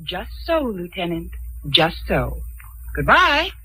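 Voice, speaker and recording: An actress playing a coolly calculating villainous corporal in a 1950s radio drama. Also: female voice, lowish voice, very animated, slow, pushed and bright, slightly rough, heavily acted, rough recording. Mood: cool, smug. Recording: old 1950s radio recording.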